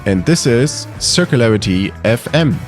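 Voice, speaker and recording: A man hosting a podcast interview, reading a lively intro and asking questions in a softer, hesitant way. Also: mid-range voice, normal speed, soft background music. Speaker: podcast host.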